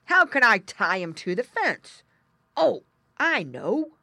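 A big greedy storybook bear, acted out by a woman, growling and gloating. The words are loud, slow and drawn out, with menacing swoops in pitch. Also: sing-song, no room sound, heavily acted. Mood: scheming, pondering. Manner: growling, drawn-out, emphatic delivery.

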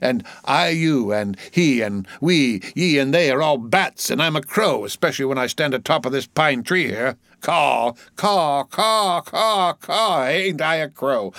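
A man's voice: on the high side, lively intonation, a little slow, few pauses, fairly bright tone, no room sound, voice pushed up. Guy doing a mad ship's boy from a storybook, eerie singsong nonsense over and over, dry sound. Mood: crazed, playful. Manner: singsong, repetitive chanting.

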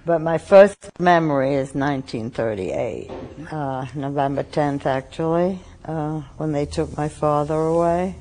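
An older woman from Austria recalls her past for an oral history interview. She talks slowly and haltingly, with frequent pauses and fillers. Her voice is warm and mellow. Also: deep voice, slight background noise, rough recording.

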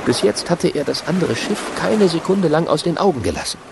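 A man's voice: a little high, very animated, brisk, gravelly, noisy background. A man narrating a children's radio play in a vivid storytelling manner. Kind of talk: scripted audio drama narration.

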